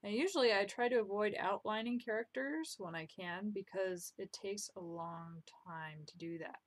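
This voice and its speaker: A young woman talks through her drawing at her desk, her voice sitting at an ordinary middle pitch. She is chatty and self-deprecating, often trailing into soft muttered asides. It sounds like a simple home desk-mic recording. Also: normal speed. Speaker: drawing vlogger. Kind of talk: casual commentary while drawing. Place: home room, indoors.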